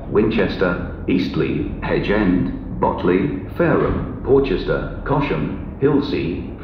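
A low-voiced man makes formal railway platform announcements in measured, separate phrases. His voice comes over a muffled public address system with heavy echo. Clear station noise runs behind him. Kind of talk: pre-recorded platform announcement, pieced together. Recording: echoey station PA, muffled, noisy.

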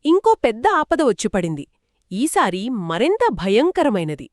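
A woman's voice: on the high side, sing-song, normal speed, darkish tone, no room sound, clean studio sound. A woman telling a children's story conversationally, engaged and dramatic as she speaks to young listeners.

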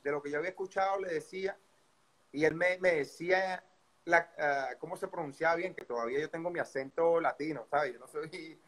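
A middle-aged man with a Venezuelan Spanish accent, a baseball coach as guest, recounting his career in a relaxed, rambling way over a video call. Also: fairly high pitch, fairly quick. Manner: relaxed storytelling with many fillers and restarts. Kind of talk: casual interview storytelling. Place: indoor room by a window. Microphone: video call webcam.

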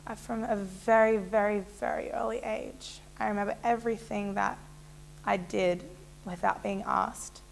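Young woman, interviewee, casual rambling storytelling, gravelly voice, small room. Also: mid-range voice, fairly even intonation, a little slow, slight background noise, rough recording. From Israel. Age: young adult. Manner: casual, rambling, with many fillers and restarts. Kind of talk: candid personal storytelling. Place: small indoor room with a plain wall.

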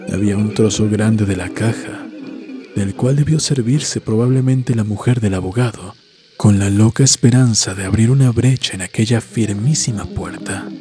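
A man reading a horror story as a narrator, solemn and measured, with dramatic pauses. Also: lowish voice. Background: background music.

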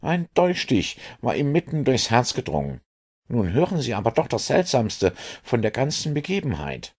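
A man doing a husky, agitated police inspector. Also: mid-range voice, wildly swooping pitch, normal speed, darkish tone, voice pushed up, exaggerated voice. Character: gruff, agitated middle-aged male police inspector. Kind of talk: audiobook reading.

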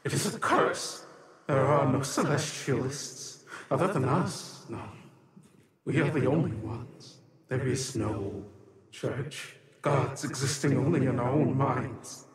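A man voicing an ancient, bitter, otherworldly sister in a tabletop game, solemn, halting and dramatic. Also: mid-range voice, close to an everyday voice. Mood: anguished denial. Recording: long reverb on the voice.

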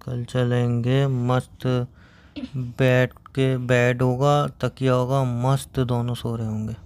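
A man's voice: medium pitch, flat delivery, takes it slowly, a little mellow, clear, resonant tone. A sleepy young man chatting casually on a phone call as a boyfriend. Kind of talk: casual couple's phone chat.

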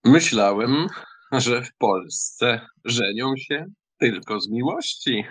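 A man playing a proud, courteous young count with a measured, polite and ironic manner in an acted audiobook. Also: medium pitch, lively intonation, fairly bright tone, slightly rough, exaggerated voice, rough recording. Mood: curious.

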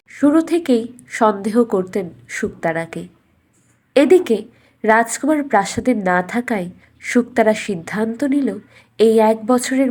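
A woman's voice: a little high, darkish tone. A woman storyteller reading a scripted fairytale narration for a cartoon bedtime story.

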